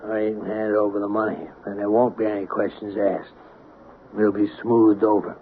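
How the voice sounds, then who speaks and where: An actor playing a shrewd, folksy small-town investigator in an old-time radio drama, plain-spoken, on a tinny, noisy recording. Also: male voice, lowish voice, monotone, moderate pace, lightly acted. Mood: calm, accusing.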